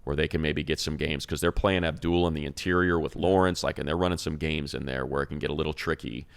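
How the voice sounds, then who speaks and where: A man's voice: extremely low pitch, fairly even intonation, fast talker, vocal fry, clean tone. A man with an American accent giving casual football analysis as a co-host on a sports podcast.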